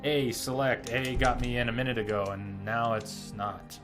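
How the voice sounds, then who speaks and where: A man streams a video game with casual, chatty commentary. His voice sits at an average pitch as he explains things and reads out menus. Faint music plays behind him. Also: steady tone, normal speed. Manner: chatty, reads menu text aloud while explaining. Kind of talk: casual live gameplay commentary.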